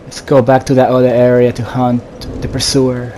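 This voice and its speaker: A man giving casual, low-key gameplay commentary in muttered asides, as a player does while playing. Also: monotone, moderate pace. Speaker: video game player commentating. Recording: background noise, game ambience. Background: game sounds.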